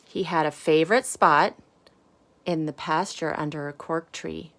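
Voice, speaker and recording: A woman reading a children's storybook aloud with casual asides, in deliberate phrases with emphasis on key words. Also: low voice, fairly even intonation, fairly bright tone, a little hiss or hum. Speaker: storybook reader.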